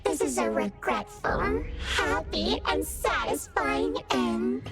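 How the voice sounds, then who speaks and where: Eerie, singsong flower spirit, wildly swooping. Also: female voice, high-pitched, huge pitch swings, slow pace, loud music behind the voice, full character voice. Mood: mournful, bitter. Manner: theatrical, repeating words in singsong. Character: eerie female flower spirit, servant of a dead master. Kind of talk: video game voice acting.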